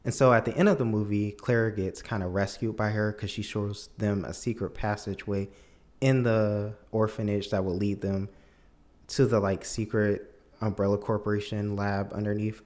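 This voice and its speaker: A man's voice: fairly low pitch, steady tone, normal speed, darkish tone. A man giving a casual, opinionated film review as a video commentator, with an emphatic, incredulous, conversational manner.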